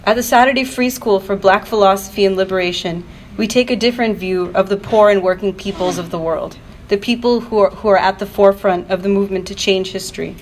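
A woman's voice: mid-range voice, brisk. A woman reading a prepared political speech at a live event, with earnest, declarative and emphatic phrasing. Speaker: activist panel speaker. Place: university event, speaking to an audience. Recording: noisy live event room recording.

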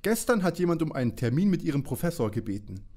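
An actor playing a direct, plain-spoken young detective who questions people in a parody radio play. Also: male voice, on the high side, very animated, fast, darkish tone. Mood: inquisitive.

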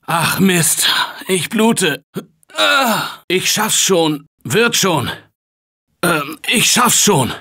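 Earnest male game survivor, ordinary office guy, husky, bright forceful voice, very lively pitch. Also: drawn-out words, exaggerated voice. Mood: hurt, weary. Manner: emphatic, urgent, colloquial. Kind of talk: dramatic video game voice acting in short barks.

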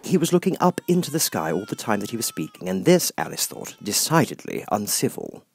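A man reading a children's classic aloud as an audiobook narrator, in expressive storytelling style. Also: fairly low pitch, brisk, creaky, slightly rough.

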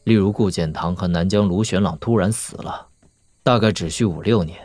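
An assured young martial lord in a wuxia radio play. His voice sits low and is recorded dry. He speaks like a wry mentor, measured and analytical, with dry wit. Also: darkish tone, no room sound. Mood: calm, analytical. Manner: measured, analytical, dryly witty. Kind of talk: Chinese wuxia audio drama acting.

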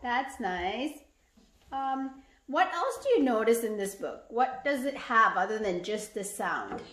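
High-pitched woman reading aloud to children, expressively. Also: measured pace, reverberant. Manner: sing-song, expressive, acting out sound words. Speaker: parent or teacher reading aloud and asking questions. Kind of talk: animated children's picture-book read-aloud.

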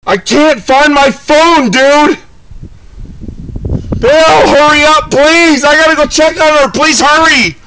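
A man in a panicked, frightened state, shouting and pleading repetitively for help with profanity. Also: very high voice, slow, a little hiss or hum, low-quality recording.

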